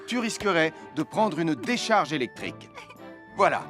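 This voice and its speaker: A man playing a friendly, responsible cartoon firefighter, teaching safety in a clear, reassuring way for a French children's dub. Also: on the high side, big pitch swings, normal speed, over music. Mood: instructive, reassuring. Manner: didactic, reassuring, clearly articulated. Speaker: firefighter character giving safety advice.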